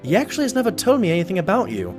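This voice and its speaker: A man plays an earnest, fussy sidekick pony in an audio drama. His voice is fairly high and swings wildly up and down, excitable and exasperated, over soft music. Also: rapid-fire. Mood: surprised. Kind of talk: scripted audio drama acting and narration.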